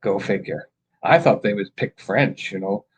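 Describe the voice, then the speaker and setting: A man's voice: lowish voice, moderate pace, slight background noise. A man co-hosting a casual live-stream chat, telling jokes in a playful storyteller's manner.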